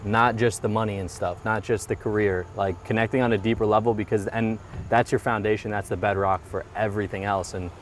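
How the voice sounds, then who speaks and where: A man's voice: on the low side, fairly even intonation, brisk, hardly any pauses, a little hiss or hum. A man in his thirties talking earnestly and reflectively in a casual interview, often repeating his phrases. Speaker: guest, mortgage business owner. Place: outdoors by a parked car.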